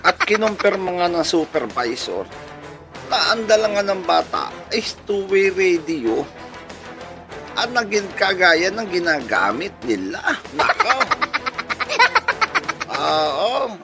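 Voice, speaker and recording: Filipino guy hosting, high voice swinging all over, funny storytelling, music playing behind him. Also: takes it slowly. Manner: animated, chuckling delivery, playful rhetorical questions. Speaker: radio-style channel host. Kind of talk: comedic storytelling.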